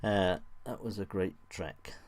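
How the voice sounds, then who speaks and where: A man's voice: low voice, fairly even intonation, measured pace, dry recording. A man hosting a live online audio show, chatting casually and loosely with many ums and restarts as he brings guests on.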